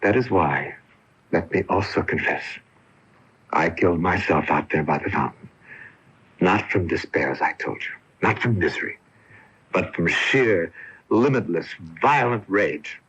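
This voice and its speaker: A remorseful male ghost in an old radio drama. The voice is very deep and husky, swelling and falling dramatically as it confesses a violent, prideful nature. The broadcast sound is muffled. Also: animated, a little slow, long pauses, fairly bright tone, exaggerated voice. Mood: brooding, intense. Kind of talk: 1980 radio drama acting. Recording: dull AM radio airing from 1980.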